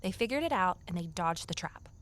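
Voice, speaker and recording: A woman's voice: lowish voice, expressive, fairly quick, a bit bright. A woman playing an earnest, stubborn young amateur sleuth in an audio drama, narrating in a natural, conversational, wry way. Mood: wry, discouraged. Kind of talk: first-person audio drama narration and dialogue.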